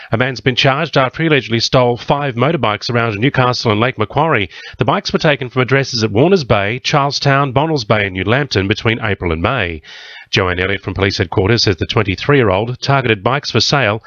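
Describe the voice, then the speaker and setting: Low-voiced Australian male newsreader. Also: a bit bright, no echo, highs cut off. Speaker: radio newsreader. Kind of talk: scripted news reading. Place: small radio studio. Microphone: close studio broadcast microphone with headphones.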